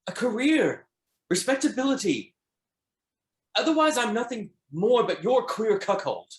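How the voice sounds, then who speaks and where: A man's voice: sits high, sing-song, unhurried. A man playing an insecure, ambitious husband and secret lover in a screenplay table read. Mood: pleading, intense. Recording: roomy, echoing sound.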